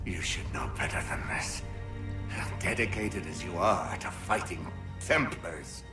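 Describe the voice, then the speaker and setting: An actor playing a defeated, wounded British officer in a video game, bitter and philosophical, with a sardonic, breathy delivery. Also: male voice, low voice, animated, unhurried. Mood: sardonic, fading. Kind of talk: dramatic video game acting. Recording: dramatic music under the voice.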